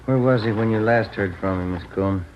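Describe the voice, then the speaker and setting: An actor in 1950s radio drama playing a police detective partner who asks polite, businesslike questions. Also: male voice, lowish voice, fast talker, lightly acted. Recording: muffled old radio broadcast with hiss.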